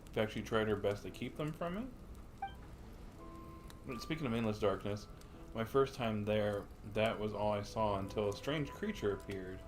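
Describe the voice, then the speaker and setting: A male streamer with a fairly low voice reads game lines aloud and comments on them. He muses as if thinking out loud, and game music plays clearly behind him. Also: normal speed.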